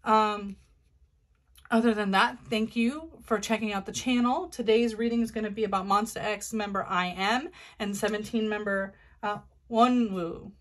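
A woman talking straight to her viewers, loose and chatty, full of little ums and self-corrections. Her pitch sits in the middle for a woman. She sounds like a hobby host explaining a card reading. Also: fairly even intonation, moderate pace, a bit bright. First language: not Korean. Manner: chatty, many ums and restarts. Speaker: tarot reader, channel host. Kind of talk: casual talk to camera.

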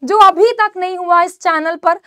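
A young woman teacher with a very high voice talks straight to her students, lively and full of gestures. She is close on a lapel mic in a dry studio with no room sound. She sounds like an online class announcement. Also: unhurried, dry recording. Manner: animated, gesturing, direct address to students. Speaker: exam-prep teacher. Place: studio in front of a presentation screen.

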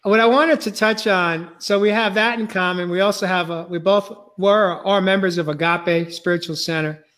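Host, man in his 50s, New England accent, high-pitched, rambling prompts over a webcam call. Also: fairly even intonation, normal speed. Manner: rambling, filler-heavy, warm prompting. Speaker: podcast host. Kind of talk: casual video-call interview. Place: home room, indoors. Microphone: video call webcam.

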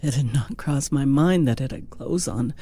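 A narrator reading a scripted first-person fantasy story in a hushed, dramatic, deliberate manner. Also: mid-range voice, husky. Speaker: audiobook narrator.

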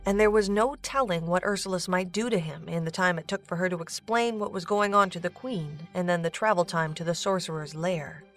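A woman's voice: lowish voice, brisk, hardly any pauses. A woman narrator reading a scripted story for an audio drama. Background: music.

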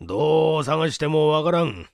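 A man's voice: a little high, expressive, normal speed, darkish tone, no room sound, clean studio sound, lightly acted. A man voicing an irascible, domineering old male scientist in a barking, imperious manner, for a story reading. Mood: troubled, confiding.

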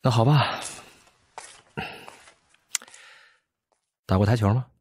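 An actor playing a brooding, scornful physicist in mourning, with a sighing, world-weary manner, in an audio drama. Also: male voice, mid-range voice, fast talker, a little mellow, slightly rough, lightly acted. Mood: brisk.

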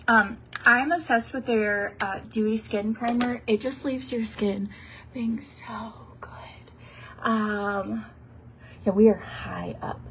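A woman's voice: on the high side, a bit bright, slight background noise. A sniffly woman in her thirties from Tennessee, chatting in a rambling, casual way while getting ready in a hotel room, talking into a phone held at arm's length. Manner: chatty and rambling, lots of likes and ums. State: sniffly from a cold. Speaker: beauty vlogger. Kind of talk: casual get-ready-with-me chat. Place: fancy hotel room, indoors.

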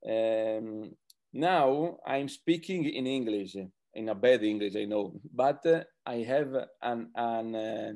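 A man's voice: on the low side, slow pace, a little mellow. A man in his thirties, a native Italian speaker with an Italian accent, talking hesitantly as a panelist on a video call and searching for words. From Italy. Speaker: film director speaking on a panel. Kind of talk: roundtable talk in a second language. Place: small book-lined home room, indoors. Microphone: computer on a video call.

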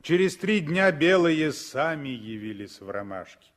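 A man's voice: on the high side, big pitch swings, unhurried, a bit bright. A man narrating a story in the scripted style of 1960s Soviet radio drama.

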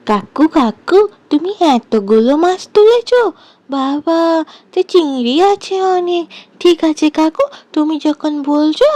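A woman's voice: high-pitched, darkish tone, dry recording. A woman voicing an eager young village girl in a cartoon, pleading in a childlike, sing-song way.

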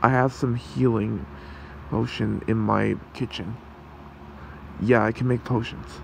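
A man voicing a gentle, protective fox creature in an ASMR roleplay, with a soothing, halting, gravelly delivery. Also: mid-range voice, fairly even intonation, slight background noise, low-quality recording. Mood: caring. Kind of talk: ASMR roleplay, soft comforting talk.

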